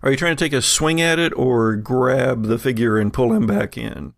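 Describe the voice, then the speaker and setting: A man narrating as game master in an improvised tabletop game, with halting, conversational storytelling. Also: mid-range voice, vocal fry, no room sound.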